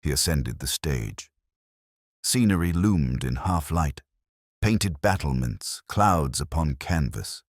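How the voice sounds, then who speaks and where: A man narrating a dramatic mystery story in a measured, solemn manner with short, deliberate phrases. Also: extremely low pitch, animated, no echo, clean studio sound.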